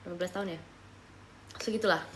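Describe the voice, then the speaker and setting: A woman's voice: on the low side, fast, slight background noise, rough recording. A young woman from Papua, Indonesia, chatting casually on a livestream, answering viewers and slipping English phrases into her talk. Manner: relaxed, chatty, answers questions as she reads them. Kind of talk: casual live chat reading viewer comments.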